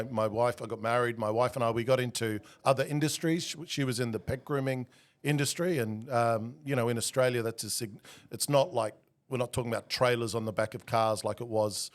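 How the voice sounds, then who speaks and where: A man in his forties, born in Argentina and raised in Australia, telling his story as a podcast guest in a relaxed, self-deprecating way with many fillers. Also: medium pitch. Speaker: guest, executive coach. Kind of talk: casual interview talk.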